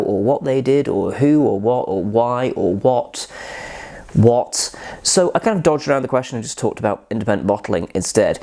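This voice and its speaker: Lively, chatty man reviewing whisky. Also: medium pitch. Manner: chatty, rambling and self-deprecating. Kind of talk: casual vlog review.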